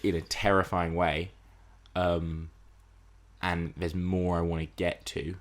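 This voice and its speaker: Man, low-pitched voice, podcast co-host, casual screen discussion, deliberate build-up. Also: flat delivery.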